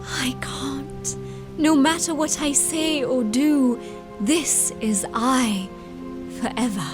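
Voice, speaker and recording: Woman acting a high-voiced, passionate young gentlewoman. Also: slow, over music. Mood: tender, rapturous. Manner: impassioned, theatrical, swinging from tender to imperious. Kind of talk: dramatic period audio drama acting.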